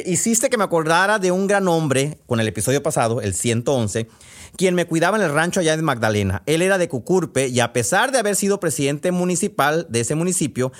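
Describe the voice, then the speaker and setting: A man with a Sonoran Mexican accent hosting a podcast, chatting casually in a folksy, halting way with asides as he reads out messages. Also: a little high, animated. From Sonora, northwest Mexico. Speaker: podcast host and storyteller. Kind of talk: casual chat, reading out listener messages.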